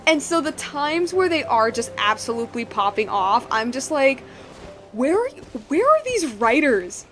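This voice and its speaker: A young woman streams a game in a fairly high voice, with soft music behind her. She comments casually and at length, rambling and getting excited. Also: moderate pace. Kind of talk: casual live gameplay commentary.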